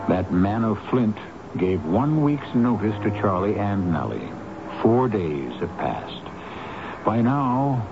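A man narrating a radio mystery drama as host, in a measured, rhetorical and ominous manner. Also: lowish voice, noisy background, full character voice. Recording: dull old radio broadcast with music.

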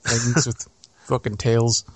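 A young man riffing bluntly on a podcast, dropping swear words and jokes. His pitch is ordinary and mid-range. The sound is dry and close, with no echo. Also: level tone, slow, slightly rough. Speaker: podcast co-host. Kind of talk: casual chat.

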